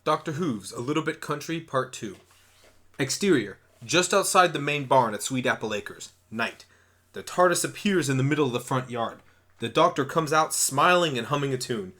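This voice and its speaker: A man's voice: medium pitch, expressive, moderate pace, a bit bright. A man reading scripted scene directions as narrator of an amateur radio play.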